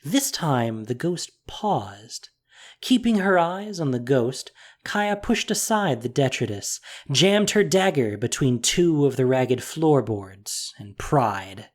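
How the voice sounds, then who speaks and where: A measured fantasy narrator who takes the prose slowly. The delivery is expressive, with a lively, rising and falling pitch. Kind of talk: scripted fantasy story narration.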